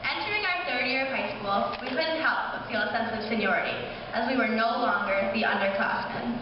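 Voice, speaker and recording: A young woman, a high school class officer, reading a scripted speech aloud at a school ceremony. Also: brisk. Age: young adult. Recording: distant echoey hall, muffled, noisy.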